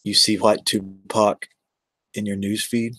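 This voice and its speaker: A man's voice: fairly low pitch, little pitch movement, a little slow, fairly bright tone, no echo, low-quality recording. An American man in his forties chatting casually on a livestream, rambling and addressing viewers one by one. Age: 40s. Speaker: live streamer.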